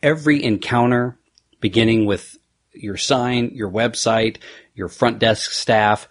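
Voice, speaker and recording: A man's voice: on the low side, slow pace. A man hosting a solo podcast, a chiropractor talking in a conversational, motivational, reflective way.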